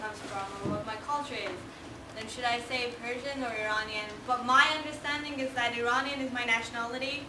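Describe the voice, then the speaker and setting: A woman in the audience hesitantly works through her question, pausing to search for words. Her voice is high. She sounds distant in a reverberant hall with background noise. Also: steady tone. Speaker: audience member asking a question. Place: large echoey lecture hall. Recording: echoey hall, far-off, noisy.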